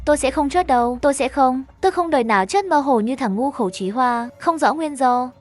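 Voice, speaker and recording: A woman plays an injured, arrogant man in a horror tale, frightened and defensive. The voice is high, quick to curse and self-reassuring, over faint music. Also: lightly acted. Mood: panicked denial. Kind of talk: horror story character dialogue.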